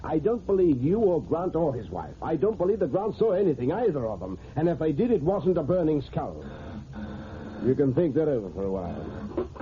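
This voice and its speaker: An actor playing an irritable, sceptical police commissioner in an old radio drama, blustery and exasperated. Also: male voice, on the high side, big pitch swings, moderate pace. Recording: tinny, noisy old radio recording.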